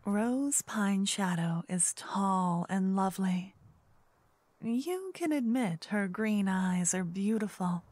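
Woman telling a fantasy asmr story, takes her time, hushed n suspenseful, really dry close sound. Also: medium pitch, expressive, slow, dry recording. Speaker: narrator. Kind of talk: second-person scripted fantasy narration, ASMR.

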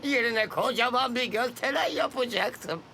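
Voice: mid-range voice, normal speed, vocal fry, background noise, close to an everyday voice. An actor voicing a greedy, scheming property developer in a children's cartoon, with a gloating manner.

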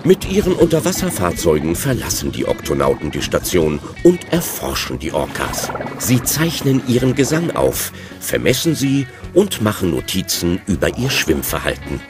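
A man's voice: deep voice, huge pitch swings, normal speed, few pauses, over music. A man narrating a children's undersea adventure audio drama from a script.

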